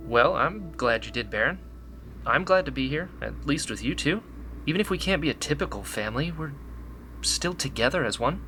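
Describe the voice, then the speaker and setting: Casting: male actor as optimistic young rebel, very animated pitch, bright forceful tone, earnest, audio drama with music underneath. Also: normal speed. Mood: hopeful, warm. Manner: excitable, earnest. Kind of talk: sci-fi audio drama acting.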